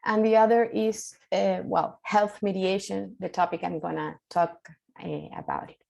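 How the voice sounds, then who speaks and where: A woman's voice: mid-range voice, steady tone, moderate pace, creaky, darkish tone. A woman from Chile with a Chilean Spanish accent and Spanish as her first language, giving a nervous, hesitant conference talk full of fillers. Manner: hesitant, many fillers and restarts. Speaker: keynote speaker, mediator.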